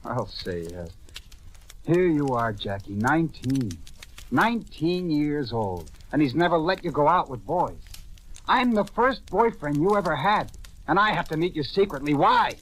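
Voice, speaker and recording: A man's voice: fairly high pitch, big pitch swings, a little slow, a bit bright, full character voice, rough recording. A man playing a defiant, indignant young boyfriend in an old-time radio drama. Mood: frustrated, insistent. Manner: insistent, indignant.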